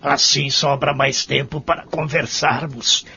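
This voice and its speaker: Male actor as remorseful elderly man, Brazilian accent, sharp husky tone, gravelly, lo-fi audio drama. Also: medium pitch, steady tone, measured pace, heavily acted. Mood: polite, conversational. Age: older. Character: lonely, remorseful old man in a care home. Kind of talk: radio drama acting. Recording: muffled, lo-fi audio drama recording.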